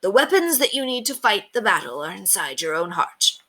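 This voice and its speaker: Woman reading as a kindly noble knight, bright-voiced. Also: medium pitch, big pitch swings, moderate pace. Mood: rousing, proud. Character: noble, kindly old knight, hearty and commanding. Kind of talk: storybook character reading.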